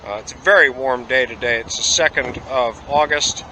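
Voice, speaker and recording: A man vlogging a travel tour outdoors at a port, talking casually with lots of "uh" pauses. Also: mid-range voice, bright, forceful tone, a little hiss or hum, rough recording. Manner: rambling, many "uh" pauses, easygoing. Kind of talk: casual travel vlog narration.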